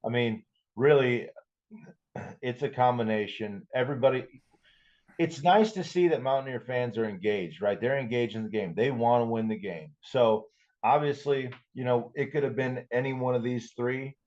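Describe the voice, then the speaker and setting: A man's voice: medium pitch, normal speed, a little mellow. A man co-hosting a podcast, chatting casually about sports, on a dull, lo-fi recording. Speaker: podcast co-host.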